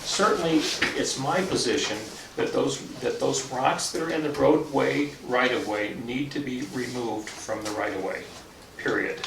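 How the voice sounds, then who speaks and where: A man speaking as a town official in a public meeting, in a halting, deliberate but firm manner. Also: mid-range voice. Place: indoor public meeting room. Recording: distant mic, echoey meeting room, clear background noise.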